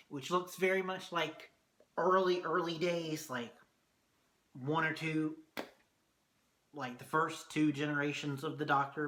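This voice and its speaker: Hoarse, excitable man recapping a show. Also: on the high side, a little hiss or hum. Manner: excitable, swears often. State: hoarse, losing his voice. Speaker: TV review vlogger. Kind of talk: casual episode recap review.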